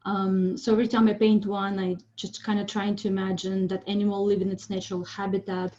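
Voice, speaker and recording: A woman from Siberia, giving a friendly, chatty artist's talk on a video call, pausing with ums. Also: medium pitch, flat delivery, a little mellow, muffled recording, a little hiss or hum. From Siberia, Russia. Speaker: presenting artist. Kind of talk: informal online presentation.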